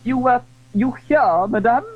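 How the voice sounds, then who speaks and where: Peevish, husky, jealous King. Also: extremely high pitch, a little slow. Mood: curt, pointed. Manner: clipped, demanding, peevish. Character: suspicious, jealous, imperious King of France. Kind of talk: theatrical audio drama acting. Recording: dull, dry 1980s audio drama recording.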